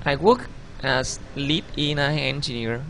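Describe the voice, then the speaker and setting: A man in his thirties with a Vietnamese accent, a native Vietnamese speaker, narrating his own video CV over slides in a halting way, often correcting himself. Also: medium pitch, bright, forceful tone, a little hiss or hum, poor audio. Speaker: job applicant.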